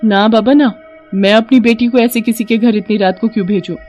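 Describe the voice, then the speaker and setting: A woman's voice: a little high, steady tone, brisk, a little mellow, highs cut off, soft background music, pitched above the performer's own voice, natural voice. A woman voicing an anxious, protective village mother in a cartoon story, worried and questioning. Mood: protesting.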